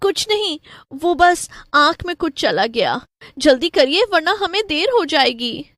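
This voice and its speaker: A woman voicing an excitable, anxious young wife in a cartoon, emotional and full of exclamation. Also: sits high, measured pace, no room sound, clean studio sound, voice pushed up, heavily acted. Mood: worried.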